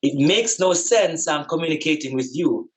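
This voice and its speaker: A Jamaican man speaks in a somewhat high-pitched voice. He talks in a reflective, spiritual style, stressing his points like a preacher. He often repeats phrases and poses rhetorical questions. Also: expressive, moderate pace.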